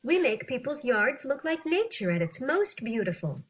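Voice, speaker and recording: A woman reading an interview text aloud for children in an animated, clearly phrased, teacherly way. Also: sits high, moderate pace. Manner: animated, clearly phrased, acting out questions and answers.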